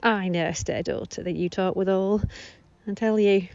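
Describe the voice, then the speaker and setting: An actress playing a bustling, devoted old nurse in an amateur Shakespeare audio drama, recorded at home on a phone. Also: female voice, medium pitch, steady tone, normal speed, creaky, a little mellow, no room sound, muffled recording, natural voice. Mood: chatty, proud.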